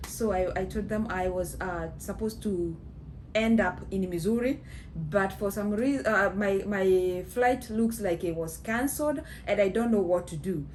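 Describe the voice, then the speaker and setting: Kenyan woman, thirties, relaxed slow delivery, lots of ums, small room, faint background noise. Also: mid-range voice, poor audio. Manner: slow, chatty, many fillers, mixes in Swahili phrases. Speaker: vlogger. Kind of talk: casual storytelling to camera. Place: small indoor room at home.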